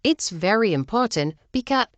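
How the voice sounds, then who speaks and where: A woman narrator plays an entitled lady with a doctorate who expects to be served first. She is insistent and impatient, with lively pitch swings and a dry, close sound, as in an audio story. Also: normal speed, no room sound, close to an everyday voice. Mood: pleading. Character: self-important, demanding, impatient woman litigant with a doctorate.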